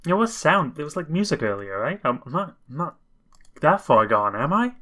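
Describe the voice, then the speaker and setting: A man reading a visual novel aloud with casual commentary, halting and breaking off for wry asides. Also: on the high side, big pitch swings, sharp tone. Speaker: video game commentator.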